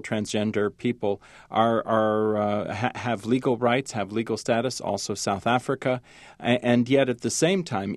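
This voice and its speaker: A man who is a journalist appears as a guest in a radio interview. He speaks haltingly, with many fillers and restarts. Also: lowish voice, dry recording, studio quality.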